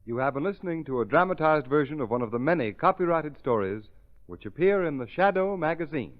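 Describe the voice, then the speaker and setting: A man's voice: fairly high pitch, lively intonation, a little fast. A male radio announcer reading a formal scripted announcement in 1930s style. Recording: dull vintage radio recording over music.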